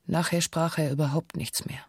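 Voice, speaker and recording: A woman playing a former hostage giving a formal, measured witness statement in a radio documentary. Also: low voice, fairly even intonation, breathy edge, soft background music. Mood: matter-of-fact. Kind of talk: scripted testimony in a radio documentary.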